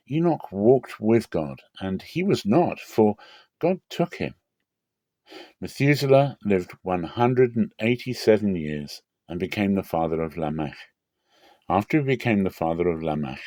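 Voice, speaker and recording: Deep-voiced man giving a dramatic scripture reading. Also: dark tone, no room sound. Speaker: Bible reader.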